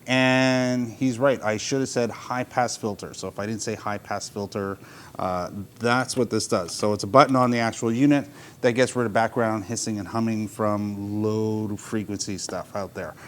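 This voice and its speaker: A man's voice: fairly even intonation, moderate pace. A man casually answering viewer questions as a host, talking off the cuff in a helpful, loosely phrased way. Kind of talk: casual, unscripted explanatory talk. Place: showroom. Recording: clear low rumbling background noise.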